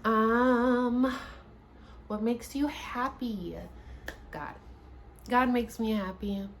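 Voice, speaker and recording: A woman's voice: a little high, drawn-out words, a little hiss or hum. A woman in her late twenties chatting casually in a sit-down get-to-know-me vlog, laughing often and restarting her sentences. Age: 20s. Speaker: YouTuber. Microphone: camera mic, seated at home.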